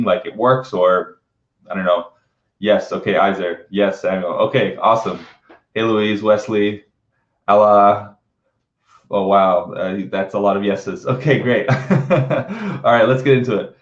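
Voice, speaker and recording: A man hosting a casual live webinar interview in an upbeat, informal, chatty way. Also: fairly low pitch. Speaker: webinar host. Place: indoor room, live online video call. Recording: roomy echo, dull lo-fi webcam sound.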